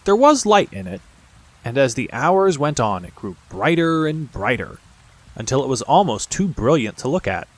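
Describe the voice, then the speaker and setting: A male audiobook narrator reads a children's storybook in a measured way. His mid-pitched voice moves widely up and down, giving the scripted prose an expressive, storytelling shape. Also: husky.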